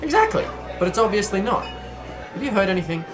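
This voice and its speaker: A man acting a cavalier, wry smuggler pilot in an audio drama, flippant and expressive in his delivery. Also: high-pitched, fairly quick, soft background music. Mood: wary, restless.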